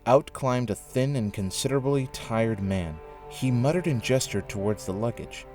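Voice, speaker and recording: A man narrating a scripted audio drama in a measured storytelling manner. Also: medium pitch, a little mellow. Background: music.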